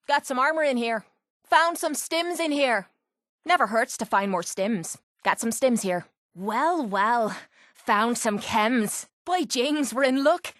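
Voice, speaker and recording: Scrappy young irish fighter girl for a video game, sarcastic n blunt, salty mouth, higher voice, dry studio sound. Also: a bit bright, no room sound. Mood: pleased. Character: tough, sarcastic young female pit fighter, wasteland companion. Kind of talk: video game character voice lines.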